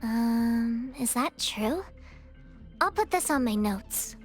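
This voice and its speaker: A woman's voice: on the high side, animated, slow pace, soft background music. A woman playing an earnest, literal-minded young female resort worker in a video game, speaking politely. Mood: puzzled, literal.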